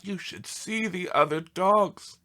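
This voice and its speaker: A man's voice: high voice, slow, pushed and bright, pitched above the performer's own voice, exaggerated voice. A man voicing an indignant, self-pitying pet dog in a theatrical storybook read-aloud. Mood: wounded, pleading. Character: aggrieved melodramatic pet dog writing pleading letters home.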